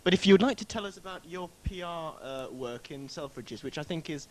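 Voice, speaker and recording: A man interviewing a friend on camera, halting and friendly, with many pauses. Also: a little high, animated, crowd noise. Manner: hesitant, friendly, full of "uh"s. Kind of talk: casual on-set interview.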